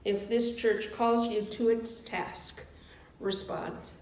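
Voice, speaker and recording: A woman reading scripted words in a measured way at a church service. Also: on the high side, steady tone, a little mellow. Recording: echoey room, distant microphone.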